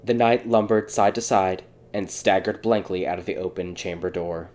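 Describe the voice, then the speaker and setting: A man's voice: sits low, steady tone, normal speed, a little mellow. A man reading a scripted fairy tale as a dramatic audiobook narrator.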